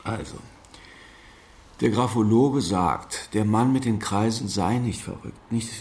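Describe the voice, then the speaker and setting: An actor playing a measured, matter-of-fact police investigator in a German radio crime drama, speaking deliberately and precisely. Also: male voice, fairly low pitch, a little mellow.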